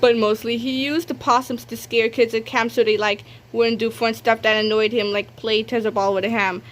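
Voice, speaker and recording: A woman's voice: a little high, level tone, moderate pace, slight background noise. A woman reading a children's comic book aloud expressively, sometimes stumbling over words. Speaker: read-aloud storyteller.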